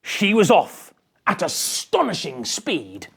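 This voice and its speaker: A woman reads a picture book to children in a theatrical storytelling style. Her voice sits a little low and swoops widely in pitch, and she delivers the rhyming story slowly, leaning into the drama. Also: wildly swooping pitch, gravelly, husky. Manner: theatrical, dramatic emphasis with hushed passages. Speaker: storybook reader. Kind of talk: animated children's picture book read-aloud.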